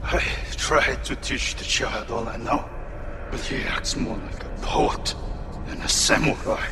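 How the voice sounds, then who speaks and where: A man acting a stern older samurai father in a game vision, gravelly, harsh and commanding. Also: mid-range voice, unhurried, over loud music, natural voice. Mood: bitter, reproachful.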